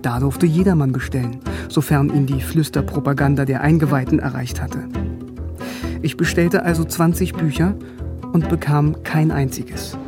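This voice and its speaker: A man's voice: a little high, steady tone, moderate pace, close to an everyday voice. An actor playing a bookish young East German man, narrating and speaking in a radio drama with dry, precise irony. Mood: wry. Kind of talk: first-person radio drama narration and dialogue. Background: music.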